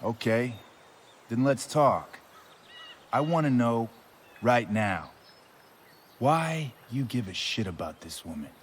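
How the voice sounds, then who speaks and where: Menacing, slow-talking drug dealer. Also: male voice, mid-range voice, lively intonation, a little hiss or hum. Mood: aggrieved, threatening. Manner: taunting, drawn-out, sarcastic delivery. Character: menacing male drug dealer, sarcastic, volatile, cruel.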